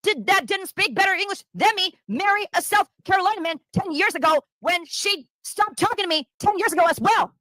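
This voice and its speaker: Fast, bright-voiced woman ranting. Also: very high voice, expressive, no room sound. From Taiwan. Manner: heavy swearing, repetitive venting. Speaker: streamer in a played clip. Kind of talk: ranting casual chat.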